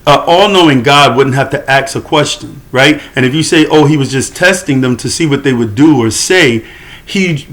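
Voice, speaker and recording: A man delivering a solo monologue to camera, arguing and lecturing in a questioning way, sometimes slowing and dropping quieter for emphasis. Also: mid-range voice. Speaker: religious commentator.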